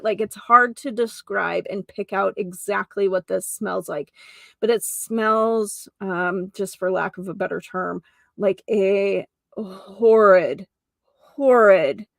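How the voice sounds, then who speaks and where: Female podcast host, mid-pitched with noticeable vocal fry, unhurried, conversational delivery, speaks straight to the listener. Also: no echo. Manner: conversational, emphatic, addresses listener directly. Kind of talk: casual solo podcast talk with anecdotes.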